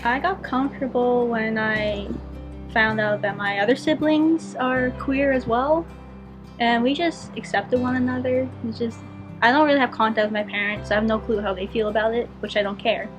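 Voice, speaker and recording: A woman's voice: fairly high pitch, normal speed, rough recording. A sixteen-year-old with a woman's voice, speaking frankly and matter-of-factly in an interview. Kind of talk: casual interview answers. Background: background music.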